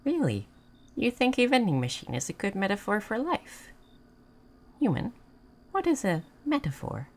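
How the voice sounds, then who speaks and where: A woman playing a gentle, naive moth person in a roleplay audio, speaking in halting, simple, formal phrases. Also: medium pitch, wildly swooping pitch. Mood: soft wonder. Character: gentle, naive, curious moth person learning human things. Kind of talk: scripted roleplay audio addressed to the listener.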